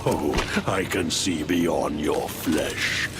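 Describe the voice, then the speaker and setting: A man's voice: rumbling low, drawn-out words, loud music behind the voice, heavily acted. An actor playing an ancient, scornful undead king in a video game, speaking in a solemn, commanding, menacing manner. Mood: imperious, ominous. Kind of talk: dramatic video game acting.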